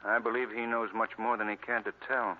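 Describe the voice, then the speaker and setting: An actor playing an urbane, determined gentleman crime fighter in earnest 1940s radio acting. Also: on the low side, little pitch movement, a little fast, lightly acted. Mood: probing, polite. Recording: tinny, noisy 1940s radio recording.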